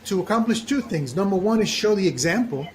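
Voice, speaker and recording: A man with a slightly high voice hosts an interview podcast, with some background noise around him. He speaks deliberately and leans on repeated phrases to stress his points. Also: expressive. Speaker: podcast host.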